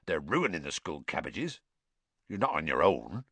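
Guy doing a big gruff giant gamekeeper, growly, rough rustic talk, kindly, storybook, dry close sound. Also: rumbling low, very animated, normal speed, a bit bright, no room sound, voice pushed down, full character voice. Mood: gruff, grumbling. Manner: rustic, dropped words and colloquial phrasing.

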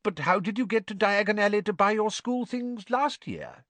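An excitable, endlessly curious middle-aged wizard father, as a male narrator plays him, voice jumping high with eager questions. Dry, close storybook sound. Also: moderate pace, dry recording.

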